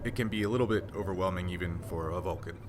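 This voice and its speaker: A stern male Vulcan doctor, voiced by a game master, speaks in a lowish voice. He is formal, blunt and emotionally controlled, as in a sci-fi roleplaying game, over light noise. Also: fast, natural voice. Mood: restrained, apologetic.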